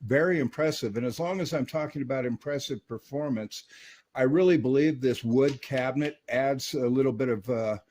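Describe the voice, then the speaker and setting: A man casually reviewing and testing a vintage radio in his workshop, relaxed and peppered with filler words. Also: medium pitch, normal speed.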